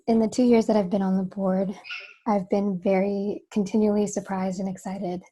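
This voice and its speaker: A young woman, slightly hoarse, speaking earnestly as a student board member on a video call meeting. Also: medium pitch, warm tone. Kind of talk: spoken remarks at a board meeting.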